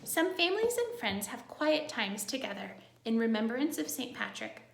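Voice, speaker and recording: A woman's voice: on the high side, very animated, normal speed, gravelly, reverberant. A woman teacher reading a picture book aloud to young children, adding simple, encouraging asides as she goes.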